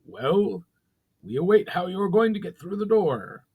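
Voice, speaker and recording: A man's voice: high voice, very animated, normal speed, husky, phone-quality sound, voice pushed up, heavily acted. A man voicing a huge, suspicious goblin chieftain with a sneering, commanding, heavily accented manner in a storybook reading. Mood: challenging.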